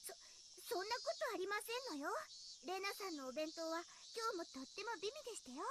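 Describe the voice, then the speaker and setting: A woman's voice: very high voice, expressive, very fast, noisy background. A woman voicing a sweet young schoolgirl who is eager to please, in anime visual novel style. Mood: sweet, forced cheer. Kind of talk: Japanese visual novel voice acting.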